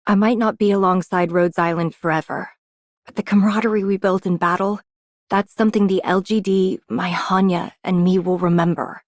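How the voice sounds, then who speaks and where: A woman's voice: medium pitch, steady tone, moderate pace, dry recording, studio quality, natural voice. A woman voicing a steadfast, loyal police protector in a video game, firm and committed. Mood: resolute. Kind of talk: video game character voice lines.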